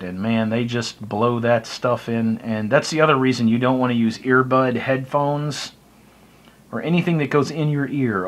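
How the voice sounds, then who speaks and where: A man's voice: mid-range voice, a bit bright. Blunt middle-aged American man, casual monologue. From United States. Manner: blunt, wry, exclamatory. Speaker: hobbyist video blogger. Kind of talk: casual opinionated monologue to camera. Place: small indoor room by a window. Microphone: phone or webcam close to the face.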